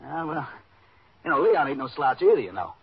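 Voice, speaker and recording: A streetwise car thief from old radio, husky, talks quick and slangy. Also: male voice, a little high, very animated, heavily acted. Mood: flattered, boastful. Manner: slangy, wisecracking, offhand. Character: cocky, streetwise young male car thief. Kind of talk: 1950s radio drama acting. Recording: muffled old radio recording.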